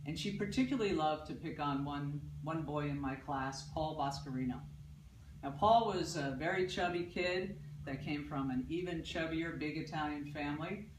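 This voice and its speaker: Loud woman from Paterson, New Jersey, spinning a live stage story; echoey hall, mic set back. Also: sits low, normal speed, noisy background. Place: theatre stage with live audience. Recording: roomy, distant mic, audible ambience.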